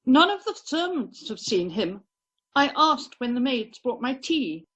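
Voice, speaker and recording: A woman's voice: high-pitched, sing-song, a little slow, fairly bright tone, breathy edge, exaggerated voice. A woman playing a prim, fretful upper-class houseguest in an amateur radio play. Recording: dull online call recording.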